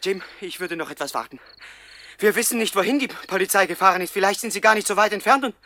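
Doubting henchman in a radio comedy: raised pitch, sharp tone, hesitant, careful delivery. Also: fast, a little hiss or hum. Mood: cautious, doubtful. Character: cautious, doubting gangster henchman addressing his boss. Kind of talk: 1960s German radio comedy crime acting.

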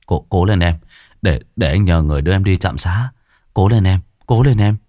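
Guy doing a flustered young husband from a village tale, pleading, tripping over words, very animated. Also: deep voice, moderate pace, dry recording, clean studio sound. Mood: urgent, encouraging. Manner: stammering, repeating words in panic.

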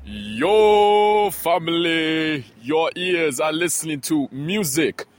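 Male announcer for a hype radio intro, slightly high voice, drawn-out delivery. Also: lively intonation.

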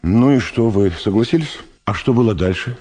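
Radio drama role: male interrogator. Low, husky, wryly unruffled, patient and probing, with expressive pitch movement. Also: moderate pace. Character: calm, dry, persistent male interrogator. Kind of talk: Soviet radio drama acting.

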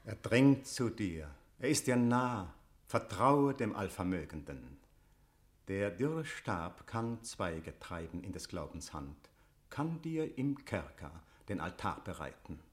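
A man playing a solemn, stern yet consoling priest in a classical verse radio tragedy, speaking in measured, ceremonial declamation. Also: fairly low pitch, big pitch swings. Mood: solemn consolation.

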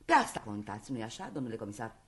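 An actress playing a proud, high-strung theatre actress in a radio play, declaiming in a grand, sentimental, impassioned way. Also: female voice, very animated. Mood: wounded, nervy.

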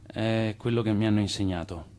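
An actor in a radio drama playing a self-assured, persuasive Italian immigrant financier and swindler with a showy manner. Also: male voice, on the low side, a little fast, a little mellow, slight background noise, natural voice. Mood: hushed, intimate.

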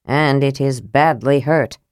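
Lady doing a serious knight guy, low mellow voice, terse n formal, fantasy audiobook. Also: steady tone, takes it slowly, clean tone, no room sound, studio quality. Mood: awed. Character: stern, earnest, impatient male knight. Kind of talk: audiobook character acting.

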